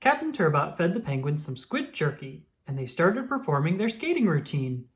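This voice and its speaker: Lively woman reading a picture book. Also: low-pitched, moderate pace. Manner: lively, expressive storytelling. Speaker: storybook reader. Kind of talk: children's book read-aloud.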